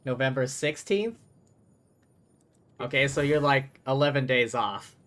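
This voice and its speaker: A man's voice: medium pitch, fairly even intonation, unhurried, fairly bright tone. A man livestreaming a game with casual commentary, chatty and thinking aloud. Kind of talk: casual live stream commentary.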